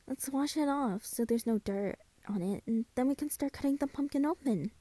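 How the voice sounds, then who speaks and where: A woman playing a self-doubting friend in a scripted roleplay, speaking softly and hesitantly at an unhurried pace. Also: high voice, no echo. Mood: eager. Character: soft-spoken, self-doubting friend carving Halloween pumpkins.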